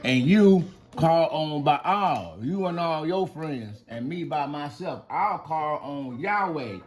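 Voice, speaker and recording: A man's voice: a little high, expressive, slow pace. A man with an American accent playing a confident prophet who issues an earnest, colloquial challenge in a live Bible story. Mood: challenging.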